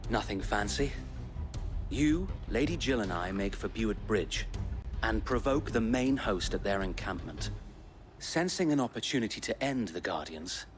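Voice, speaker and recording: An actor playing a loyal, chivalrous knight in a video game, speaking in a measured, courteous, soldierly way. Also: male voice, fairly low pitch, natural voice. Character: loyal, chivalrous knight leading resistance fighters. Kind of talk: dramatic video game acting.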